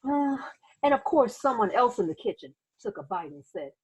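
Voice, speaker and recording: Animated woman reading a storybook aloud, slightly husky. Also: a little high, moderate pace, rough recording. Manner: animated, dramatic, acts out sound words and exclamations. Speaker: teacher reading a picture book aloud. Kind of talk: expressive children's read-aloud storytelling with asides to listeners.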